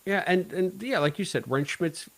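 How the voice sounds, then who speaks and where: A man's voice: high-pitched, normal speed, creaky, a bit bright. A man co-hosting a casual review podcast, talking in a wry, joking way. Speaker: podcast co-host. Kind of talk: casual review chat about an audio drama.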